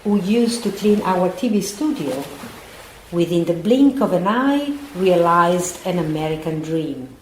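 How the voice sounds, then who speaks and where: A woman's voice: on the low side, expressive, measured pace, a little mellow. A woman playing a storytelling announcer, giving scripted dramatic narration in an echoey, noisy space. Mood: narrating.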